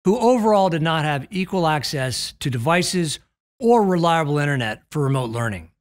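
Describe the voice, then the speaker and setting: A middle-aged American man presents a scripted keynote as an executive would. His voice sits a little high and moves with lively intonation. The delivery is measured and emphatic, with a polished corporate tone. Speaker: telecom executive giving a keynote. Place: indoor studio with plain backdrop.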